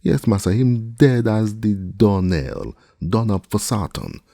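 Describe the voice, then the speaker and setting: A man's voice: lowish voice, animated, takes it slowly, vocal fry, dark tone, dry recording, clean studio sound, voice pushed up, full character voice. A man voicing an older male servant who is superstitious and stubborn, in drawling dialect speech, read as an audiobook character. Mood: fearful.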